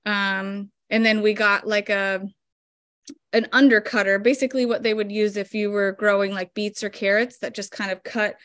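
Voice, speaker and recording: A woman's voice: medium pitch, steady tone, normal speed, a bit bright, no room sound, studio quality. A woman in her thirties, a flower farmer guest explaining her work step by step with lots of fillers, over a video call from home. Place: home room indoors. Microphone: video call webcam.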